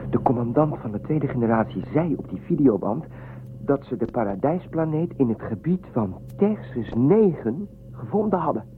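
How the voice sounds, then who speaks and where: An actor playing a gentle young man in a science fiction radio drama, earnest and coaxing. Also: mid-range voice, expressive, normal speed, lightly acted. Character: curious, pleading young man raised in space, gentle. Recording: thin phone-like radio recording, clear noise.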